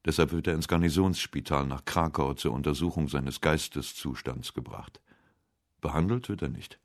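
A man narrating a literary radio essay from a script in a measured, serious manner. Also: a bass voice.

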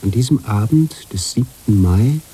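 A man playing a brooding, contemplative narrator-author in a literary radio drama, speaking deliberately with pauses between phrases. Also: fairly low pitch, expressive, mellow, a little hiss or hum, low-quality recording. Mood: grave, weary. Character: brooding male narrator-author, contemplative, troubled by his heroine.

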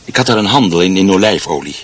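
A man's voice: low voice, lively intonation, fast talker. An actor playing a chatty, fussy middle-aged husband in a radio drama, proud of his home and often stammering and repeating himself. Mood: proud, talkative. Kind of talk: Dutch radio drama acting.

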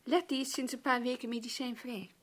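An actress playing a patient care worker in a radio drama, asking hesitant but persistent questions. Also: female voice, fairly high pitch, fairly even intonation, fairly quick, natural voice. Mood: careful, hesitant. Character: patient, probing female care worker visiting a client's relative. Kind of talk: naturalistic radio drama dialogue.

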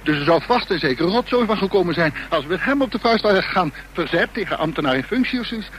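An actor playing a reckless, taunting young gang leader with a death wish in a Dutch radio drama, in a mocking, excitable manner. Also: male voice, high-pitched, quick pace. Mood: sly, teasing. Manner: mocking, excitable, breaking into laughter. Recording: noisy radio drama recording.

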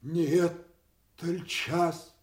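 An actor playing a solemn courtier in a classic radio play, speaking in slow, hushed and awed tones. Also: male voice, a little high, fairly even intonation, echoey room. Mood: awed dread. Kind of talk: classic stage radio acting.

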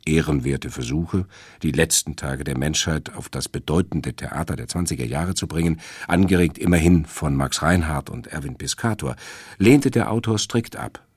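A man delivering a scripted literary radio essay as a presenter, in a measured, emphatic and erudite manner. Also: extremely low pitch, darkish tone, husky, no room sound, studio quality. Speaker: radio presenter introducing a radio play.

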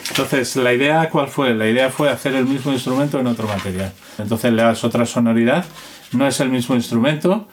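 A man's voice: mid-range voice, brisk. A man in his fifties with an accent from Spain explains his craft casually and demonstratively, adding short tag questions. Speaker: instrument maker being interviewed. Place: instrument workshop. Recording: noisy on-location interview recording.

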